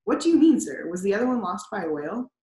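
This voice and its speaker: A woman's voice: mid-range voice, sing-song, quick pace, dark tone, natural voice. Polite earnest young man, as a woman reads him, roomy sound.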